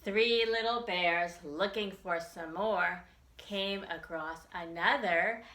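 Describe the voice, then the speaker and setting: A woman's voice: fairly low pitch, slow, bright, forceful tone. A woman librarian leading a children's storytime, reading and reciting rhymes expressively in simple phrases for small children. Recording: echoey room.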